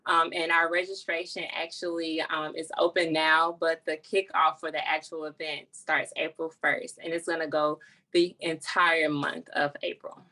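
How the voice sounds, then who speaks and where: A woman's voice: low voice, flat delivery, moderate pace, sharp tone, low-quality recording. A woman speaking earnestly as a nonprofit founder, explaining her work as an interview guest.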